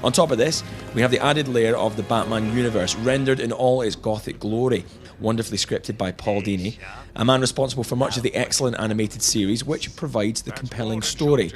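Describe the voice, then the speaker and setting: A man's voice: medium pitch, fairly quick, soft background music. A man narrating a scripted video game review with jokey, chuckling asides.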